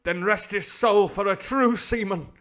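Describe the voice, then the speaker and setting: A man voicing a loyal, defiant sailor in a rough 1950s film version of a London accent, declamatory and earnest. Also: high-pitched, measured pace, a bit bright, pitched above the performer's own voice. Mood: indignant, heroic. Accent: rough 1950s film approximation of London. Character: loyal, defiant working-class sailor, honest and indignant. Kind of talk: old Hollywood adventure film acting.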